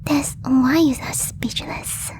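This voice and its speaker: A woman's voice: sits high, steady tone. Woman as a whispering, possessive alien girl. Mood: affectionate, coy. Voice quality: breathy, whispered. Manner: slow, deliberate, stiffly formal non-native phrasing. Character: possessive lovestruck alien girl, stilted speech, shyly obsessive. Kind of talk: whispered ASMR audio roleplay.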